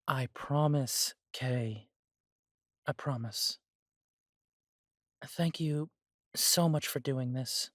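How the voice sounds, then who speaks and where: Guy doing a gentle immortal elf coffee shop owner, unhurried n self-doubting, fantasy roleplay audio. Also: mid-range voice, dry recording, clean studio sound. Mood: light, teasing. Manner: gentle, chatty, self-deprecating.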